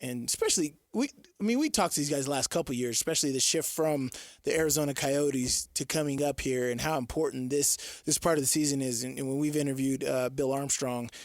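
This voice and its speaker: A man co-hosting sports radio in a casual, upbeat and rambling, halting way on a close studio mic. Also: mid-range voice, no echo. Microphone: close studio radio microphone.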